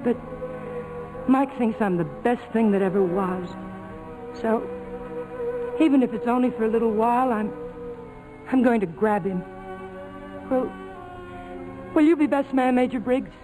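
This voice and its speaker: An actress playing a guilty, worldly young woman torn in love speaks in halting, dramatic phrases. It is an old 1940s radio broadcast, with music playing underneath. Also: on the high side, close to an everyday voice, poor audio. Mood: bitter, resolved.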